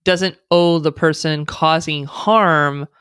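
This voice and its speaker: American woman guest, measured and explanatory, clean dry recording. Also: deep voice, steady tone, fairly bright tone, clear, resonant tone, no echo. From United States. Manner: thoughtful, speaks in long explanatory runs with fillers. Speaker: guest, diversity consultant. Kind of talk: conversational interview.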